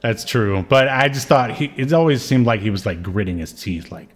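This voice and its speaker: A man's voice: mid-range voice, expressive, moderate pace. A man co-hosting a podcast, chatting casually about a TV show and often searching for his words. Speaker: podcast co-host. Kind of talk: casual chat, reviewing a TV show.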